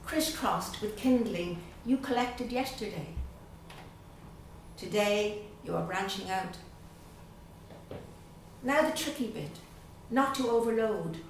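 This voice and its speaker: Middle-aged Irish woman, guest poet, measured and unhurried reading, loose and chatty between pieces; picked up far from the mic in a very echoey room. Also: medium pitch, lively intonation, slight background noise. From Dublin, Ireland. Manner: slow, measured reading with pauses; chatty and hesitant between poems. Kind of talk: poetry reading at a literary evening. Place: event room at a literary gathering.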